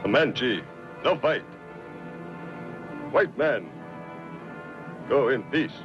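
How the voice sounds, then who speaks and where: Stern terse warrior, broken phrases, very slow, old radio drama, muffled recording. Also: male voice, medium pitch, animated. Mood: grave, stern. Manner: halting, clipped broken phrases. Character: stern Comanche warrior man, terse and grave. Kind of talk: old-time radio western acting. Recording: dull old radio recording, music behind.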